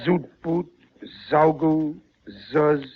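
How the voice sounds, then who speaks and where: A man's voice: fairly high pitch, level tone, drawn-out words. An actor playing a stammering, gullible, easily flustered working-class family man in a 1940s radio comedy. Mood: muttering, stubborn. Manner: stammering, exclaiming, exasperated. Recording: muffled, noisy 1940s radio recording.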